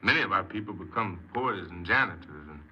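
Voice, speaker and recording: An actor playing a troubled, defensive criminal confessing in a 1950s radio crime drama, speaking earnestly and haltingly as a proud hypnoanalyst. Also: male voice, sits low, animated, bright, forceful tone, slightly rough, exaggerated voice. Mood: wistful pride. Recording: very noisy, muffled 1950s radio recording.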